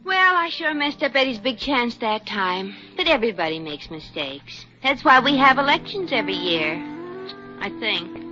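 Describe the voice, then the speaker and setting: An actress playing a brash, fast-talking young heroine in a 1950s radio comedy. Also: female voice, on the high side, very animated, pushed and bright, faint music behind. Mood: rueful. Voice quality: brash, wisecracking. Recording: dull lo-fi old radio transcription.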